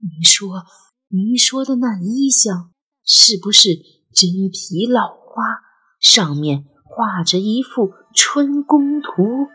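A woman's voice: a little high, animated, slow, pushed and bright, slightly rough, exaggerated voice. A woman voicing an elderly grandmother in an audio drama, imperious and haunted by memory. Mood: trembling, trance-like. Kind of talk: Chinese audio drama acting.

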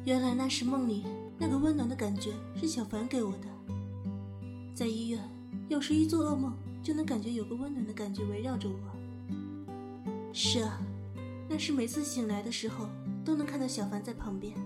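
A woman voice actor playing a gentle young woman haunted by grief in an audio drama. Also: a little high, a little fast, lightly acted. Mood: soft, caring. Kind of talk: Chinese audio drama acting. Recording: guitar music behind.

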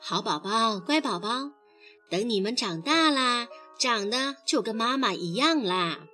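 A woman's voice: sits high, lively intonation, moderate pace, bright, forceful tone, faint music behind. A woman voicing a caring, anxious mother frog in a motherly manner for a children's story. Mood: tender, patient. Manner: tender, fussing, motherly.

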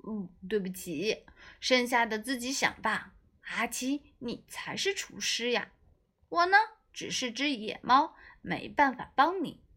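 A woman's voice: a little high, animated, unhurried, pushed and bright, exaggerated voice. A woman voicing a food-loving stray cat who talks frankly and emphatically in a children's storybook. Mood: apologetic.

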